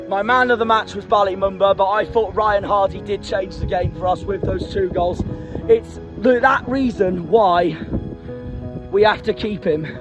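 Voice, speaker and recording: Brief hoarse, elated young man. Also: high voice. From Plymouth, England. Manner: breathless and elated, repeating himself. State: nearly lost his voice. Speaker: football fan vlogger. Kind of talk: excited post-match vlog. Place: outdoors at night, then indoors. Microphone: handheld camera at arm's length.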